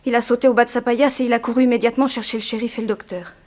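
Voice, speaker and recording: A woman's voice: a little high, fairly even intonation, rapid-fire, darkish tone, lightly acted. An actress playing a sorrowful young woman in a 1960s French radio drama, restrained and plaintive. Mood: subdued, recounting. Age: young adult. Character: sorrowful young daughter of a harsh plantation owner.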